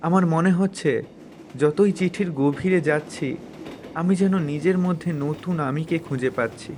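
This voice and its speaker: A man's voice: on the high side, normal speed, darkish tone, a little hiss or hum, natural voice. A man voicing a sincere, wistful, devoted young man in a cartoon moral story. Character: earnest, dreamy young man devoted to a letter. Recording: music behind the voice.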